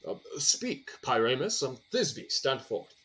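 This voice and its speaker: An actor playing a fussy, flustered director of amateur players who stammers and corrects, in comic Shakespearean audio drama. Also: male voice, mid-range voice, very animated, slow pace, a bit bright, slightly rough, exaggerated voice.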